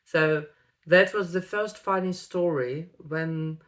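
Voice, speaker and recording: A woman, an English speaker, telling a personal story to camera with many ums and pauses. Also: on the low side. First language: Russian. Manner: unhurried, many ums and pauses, non-native phrasing. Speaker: vlogger.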